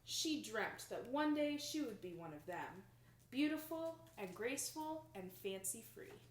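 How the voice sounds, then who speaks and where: A young woman reads a children's picture book aloud with expressive, dramatic emphasis, recorded in a small room indoors. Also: on the high side, unhurried, a little hiss or hum. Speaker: storybook reader. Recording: roomy home recording.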